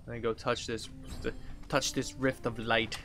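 A man's voice: medium pitch, little pitch movement, a little slow, bright, forceful tone, faint music behind. A man in his thirties giving casual, rambling let's play commentary, thinking aloud as he plays. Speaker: gaming video host. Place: indoors at a computer desk.

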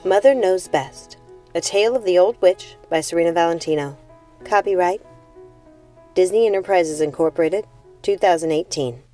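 A woman reading a young adult storybook aloud as an expressive audiobook narrator. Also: fairly low pitch, moderate pace, soft background music. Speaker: audiobook reader.

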